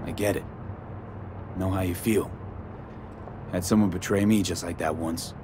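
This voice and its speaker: A lowish male voice over clear vehicle noise, an actor as a streetwise game mercenary. He is level-headed and pragmatic, with curt, sardonic delivery. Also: fairly even intonation, normal speed, lightly acted. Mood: sympathetic, resolved. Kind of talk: video game voice acting.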